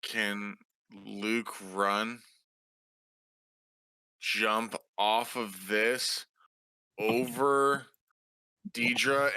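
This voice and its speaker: A man's voice: lowish voice, pushed and bright, smooth, no echo, poor audio. A man playing in a tabletop role-playing game, casually describing what his character does.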